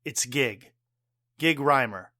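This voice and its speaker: A man playing a caring, gruff bartender father and war veteran in an audio drama, earnest, wry and plain-spoken. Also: medium pitch, slow pace, bright, forceful tone, dry recording, clean studio sound.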